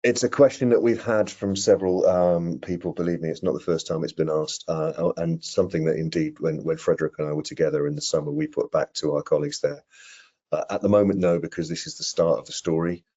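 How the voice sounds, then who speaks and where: A middle-aged man answering technical questions in an online webinar, explaining things in a measured, careful way. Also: deep voice, fairly even intonation, mellow. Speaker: product presenter answering questions. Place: indoor room on a video call. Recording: muffled video-call audio.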